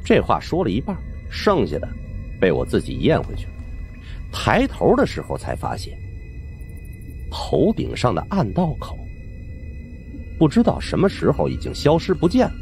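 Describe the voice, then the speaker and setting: A man's voice: medium pitch, wildly swooping pitch, moderate pace, gravelly, long pauses, darkish tone, faint music behind. A man narrating a supernatural suspense audiobook in a theatrical, suspenseful way. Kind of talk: dramatic scripted storytelling of a supernatural suspense novel.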